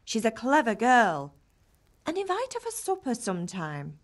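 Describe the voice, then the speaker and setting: A woman's voice: a little high, moderate pace. An actress playing a middle-aged village mother in a medieval game, who scolds and teases with affection. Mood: teasing, chiding. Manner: nagging, teasing, animated. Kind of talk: dramatic video game dialogue.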